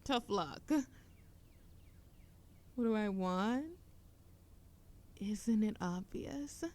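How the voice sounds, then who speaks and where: A woman's voice: medium pitch, very slow, a little mellow. A woman playing a playful young witch smitten with a childhood friend, teasing and affectionate in an intimate romantic audio roleplay. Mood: playful, bossy. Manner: teasing, affectionate, breathy laughs and whispers.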